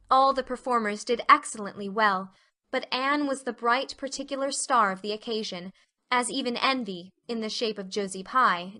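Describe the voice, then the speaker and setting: A woman's voice: fairly high pitch, bright, forceful tone. A woman reading scripted storybook narration as the narrator of a dramatized novel.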